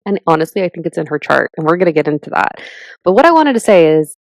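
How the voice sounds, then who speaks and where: A woman in her thirties co-hosting a podcast in casual chat, animated and chatty, with lots of "like" and "literally". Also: lowish voice, fast, dry recording. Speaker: podcast co-host.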